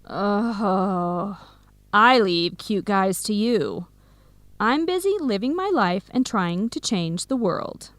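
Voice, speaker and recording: A woman's voice: medium pitch, lively intonation, slow, no echo. Frank, outspoken young woman, dry studio sound. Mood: upbeat, earnest. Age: young adult. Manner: frank, emphatic. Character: Samira, outspoken, protective young woman, idealistic best friend. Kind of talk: educational radio drama dialogue.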